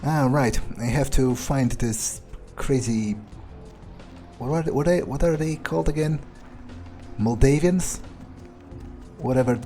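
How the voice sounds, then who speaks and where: A man's voice: medium pitch, dark tone, close to an everyday voice. A man roleplaying a callous, amused vampire in a video game, musing in a drawling, self-satisfied way. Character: smug, amused, callous male vampire schemer. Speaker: gamer playing in character.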